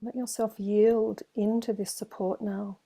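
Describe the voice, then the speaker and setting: Soft mellow woman guiding meditation. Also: mid-range voice, little pitch movement. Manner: slow, gentle, soothing delivery with long pauses. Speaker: meditation teacher. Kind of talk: guided meditation.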